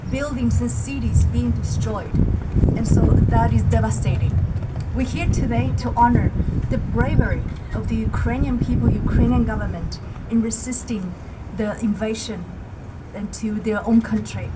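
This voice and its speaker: A woman with a somewhat high voice delivers a prepared speech at an outdoor solidarity rally. Her delivery is measured and emphatic, building to rallying calls. The recording is noisy, with traffic clearly audible around her. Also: fairly even intonation. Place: outdoor public gathering.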